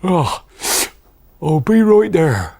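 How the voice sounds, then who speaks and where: A man's voice: on the high side, sing-song, drawn-out words, vocal fry, warm tone, voice pushed up, exaggerated voice. Man playing a weary, kindly farmer. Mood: sleepy. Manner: weary, sighing. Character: tired, kindly male farmer, sighing but grateful.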